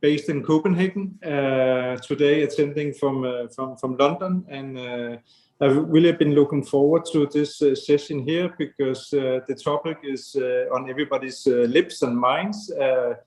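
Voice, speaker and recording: A man's voice: mid-range voice, a little mellow. Polite male architect in an echoey room. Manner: polite, halting with fillers. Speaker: architect, design director, panel guest. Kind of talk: online panel introduction. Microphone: video call.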